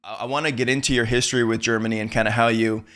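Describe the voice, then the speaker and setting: A man's voice: mid-range voice, fairly even intonation, rapid-fire, heavy background noise. Curious, easygoing male interviewer. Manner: curious, affirming, many fillers. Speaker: podcast host, interviewer. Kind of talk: casual interview questions.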